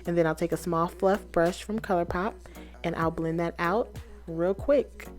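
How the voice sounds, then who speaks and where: Woman, beauty vlogger, slightly low voice, upbeat and chatty tutorial delivery. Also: fairly even intonation, moderate pace, music in the background. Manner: chatty, upbeat, talks straight to viewers. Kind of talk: casual makeup tutorial.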